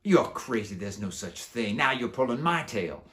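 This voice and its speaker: Man voicing a brash, lively werewolf pack recruiter. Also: medium pitch, moderate pace. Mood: incredulous. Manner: slangy, showy, chummy. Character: brash, boastful, streetwise adult male werewolf pack recruiter. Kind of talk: children's book character voice.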